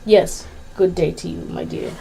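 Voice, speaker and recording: A woman's voice: fairly low pitch, fairly even intonation, unhurried, creaky, dark tone, husky, exaggerated voice, low-quality recording. A female performer playing a haughty, curt, languid male caterpillar in an amateur radio play. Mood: curt, imperious.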